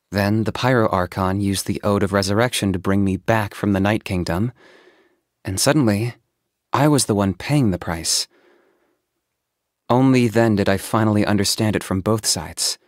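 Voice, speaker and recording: A pragmatic young hunter from a video game, voiced by a man, calm and self-contained. His voice sits fairly low and is recorded dry, with a deadpan, sardonic edge and a reserved, matter-of-fact delivery. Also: normal speed, no room sound, close to an everyday voice. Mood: reflective. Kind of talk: video game character voice acting.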